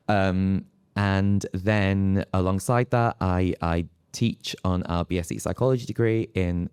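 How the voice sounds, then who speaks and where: A man's voice: low-pitched, darkish tone, smooth, no room sound, studio quality. A man, a university lecturer, talking informally about his work as a panel guest. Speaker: senior lecturer in psychology on a panel. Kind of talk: informal panel discussion answer.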